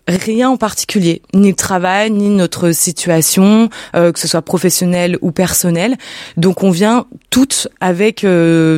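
A woman's voice: lowish voice, darkish tone, no room sound, studio quality. A woman from Lyon, France, guest on a radio show, talking about the women's meetup network she founded. She speaks spontaneously, with many fillers and restarts. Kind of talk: radio interview, spontaneous speech.

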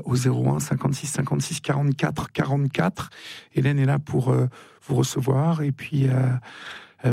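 Guy hosting late night call-in radio, gravelly husky voice, lots of euhs, soft and polite. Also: medium pitch, dry recording. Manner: hesitant, many fillers, gentle and polite. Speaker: radio talk show host. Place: radio studio. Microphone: studio microphone.

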